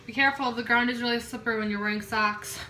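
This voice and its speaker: A woman's voice: fairly high pitch, steady tone, fast talker, sharp tone, soft background music, rough recording. A young woman chatting informally and self-deprecatingly to a camera in a home kitchen, as a casual vlogger. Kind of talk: casual chat to camera while cooking.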